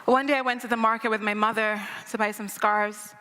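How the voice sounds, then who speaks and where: A woman's voice: on the high side, fairly even intonation, brisk, pushed and bright, clear, resonant tone. A young woman from Nigeria telling a personal story live on stage, in a wry, conversational manner. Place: old church used as a theater stage. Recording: reverberant church hall, live.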